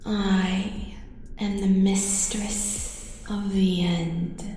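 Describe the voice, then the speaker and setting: A woman's voice: medium pitch, flat delivery, extremely slow, warm tone. Woman as cosmic female villain for horror audio: heavily echoed, languid, seductive, commanding, faint music behind. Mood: teasing, domineering. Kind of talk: horror audio roleplay acting. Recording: long echoing reverb with faint music.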